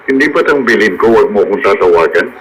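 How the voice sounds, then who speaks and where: A man's voice: lowish voice, expressive, fast talker, natural voice. Brief: male actor as scornful Filipino schemer in radio drama, swinging intonation, noisy broadcast sound. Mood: scornful, impatient. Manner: dismissive, taunting. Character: curt, scheming man stalling his accomplice.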